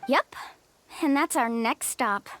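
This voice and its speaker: A woman's voice: high-pitched, takes it slowly, a bit bright. A woman playing a confident, determined young tour guide in a video game, speaking earnestly and with assurance.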